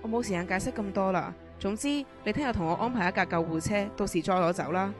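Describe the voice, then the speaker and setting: An actress as a middle-aged female prisoner in a radio drama. She is manipulative and commanding, with a desperate edge. She talks quickly at a medium pitch, with music clearly underneath. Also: lightly acted. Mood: commanding, threatening. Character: scheming, desperate middle-aged woman prisoner plotting an escape. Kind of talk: amateur radio drama acting.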